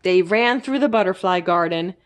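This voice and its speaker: A woman storyteller reading a children's book aloud expressively, with careful, dramatic emphasis and pauses. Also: lowish voice. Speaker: library storyteller.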